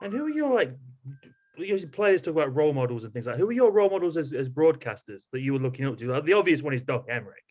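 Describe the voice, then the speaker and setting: A man's voice: fairly high pitch, big pitch swings, quick pace, darkish tone, dull audio. A man hosting a podcast, putting questions to a guest in a casual, chatty interview style.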